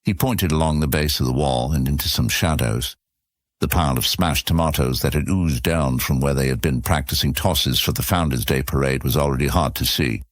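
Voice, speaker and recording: Very deep-voiced male story narrator. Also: normal speed, no room sound. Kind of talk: scripted fantasy story narration.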